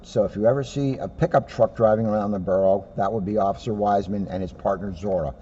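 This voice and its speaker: A man talks casually, explaining things as a police officer. His voice is fairly low and very soft-edged and mellow. The sound is somewhat muffled, with faint noise. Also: fairly even intonation, fast talker. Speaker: police officer commenting during a read-aloud.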